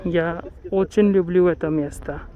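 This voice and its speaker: A man's voice: high-pitched, fairly even intonation, a little slow, phone-quality sound, slight background noise. A man from India, narrating a walking tour outdoors in a lakeside park, careful and dreamy, often correcting himself. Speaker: travel vlogger.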